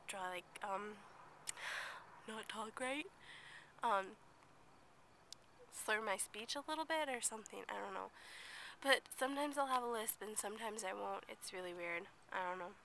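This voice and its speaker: A woman's voice: mid-range voice, vocal fry, pushed and bright, slight background noise. A young woman with a cold, chatting in a rambling vlog as she films herself outdoors at night, sometimes dropping to a whisper. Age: young adult. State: sick with a blocked nose. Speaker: vlogger. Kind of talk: casual vlog chat. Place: outdoors at night near a playground and parking lot. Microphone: handheld camera at arm's length.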